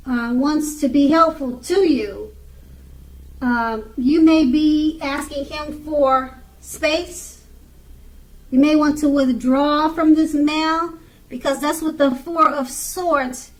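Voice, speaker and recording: Slow, high-voiced woman reading tarot. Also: poor audio. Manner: halting, pausing and repeating phrases. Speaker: tarot reader. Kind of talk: spoken tarot card reading, unscripted.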